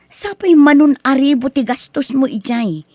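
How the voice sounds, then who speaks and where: A woman's voice: high-pitched, expressive, normal speed, dark tone, no echo, clean studio sound. A woman playing a firm lecturing wife. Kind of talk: radio drama acting.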